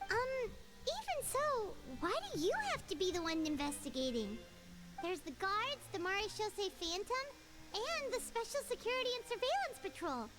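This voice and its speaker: A woman voicing a small, inquisitive, excitable childlike fairy companion in a video game, blunt and full of questions. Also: extremely high pitch, normal speed. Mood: curious. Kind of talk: scripted video game voice acting. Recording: loud game music underneath. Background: game music.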